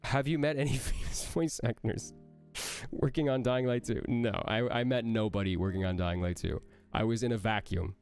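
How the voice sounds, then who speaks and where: A man's voice: medium pitch, fairly even intonation, normal speed, darkish tone, clear, resonant tone, soft background music. A young man, a voice actor, reading out and answering chat questions on a livestream, with a nasal voice that sounds like he has a cold, playful and joking.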